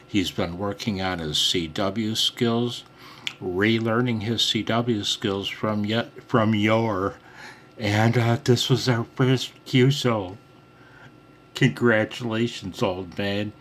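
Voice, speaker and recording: Man, unhurried pace, salty exclamatory casual talk, amateur radio hobbyist, noisy handheld phone audio. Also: fairly low pitch, a bit bright. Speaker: amateur radio operator filming his own contact. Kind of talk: casual excited talk to the camera.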